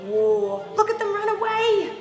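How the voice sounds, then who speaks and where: A woman reading a picture book aloud to young children, expressive, with animated, exaggerated reactions and asides. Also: very high voice. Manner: animated, exaggerated reactions with gasps and drawn-out words. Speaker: children's storyteller. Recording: roomy echoing hall sound, background music. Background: music.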